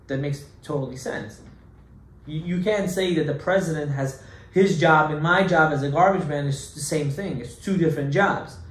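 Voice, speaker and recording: A male rabbi teaches a Torah class in a somewhat high voice, pressing his points with rhetorical questions and sudden loud outbursts. His speech is peppered with Hebrew terms. The room is echoey, with a faint hum of noise behind him. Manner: emphatic, rhetorical questions, acts out objections loudly. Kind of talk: animated Torah lecture.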